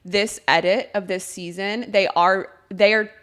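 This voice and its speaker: A woman's voice: medium pitch, fairly even intonation, measured pace, a bit bright. A woman in her thirties co-hosting a podcast, chatting casually with her sister, giggly and rambling. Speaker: podcast co-host. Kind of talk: casual chat.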